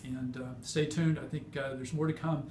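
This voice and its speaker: A man giving a clinical teaching demonstration as a physician, explaining technically in a halting way. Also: mid-range voice, flat delivery, a little mellow. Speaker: physician demonstrating hip exam. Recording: roomy, distant mic, noisy background.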